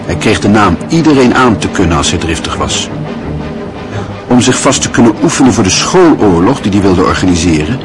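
A man narrating a literary radio drama in a measured, even storytelling manner. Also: fairly low pitch, music in the background.

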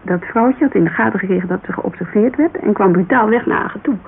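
A woman's voice: medium pitch, fast, vocal fry, lightly acted. Woman acting a chatty witness, tinny old radio sound. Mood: earnest, loyal. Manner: informal, digressive, animated storytelling. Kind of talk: naturalistic radio drama dialogue. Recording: muffled, hissy old radio recording.

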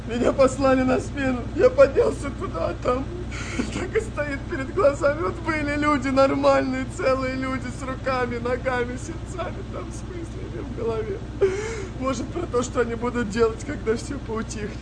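An actor playing an anguished, haunted young wartime soldier in a radio play, speaking in halting, repetitive phrases. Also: male voice, extremely high pitch. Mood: anguished, shaken. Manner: halting, repetitive, emotional delivery. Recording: noisy wartime ambience behind voice.

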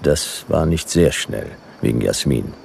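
An actor playing a world-weary detective, narrating a sci-fi radio play in dry, clipped noir fragments with a gravelly voice. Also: male voice, extremely low pitch, lively intonation, measured pace, darkish tone, slight background noise, heavily acted. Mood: brooding, laconic. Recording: music behind the voice.

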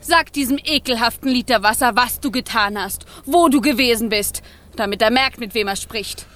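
An actress in a children's radio play voicing a young, wild and devoted fire spirit, expressive, urgent and childlike. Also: female voice, on the high side, moderate pace, natural voice. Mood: indignant.

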